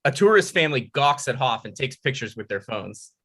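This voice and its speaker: A man's voice: mid-range voice, very animated, a little fast, sharp tone, husky. A man reading stage directions as narrator at a script table read.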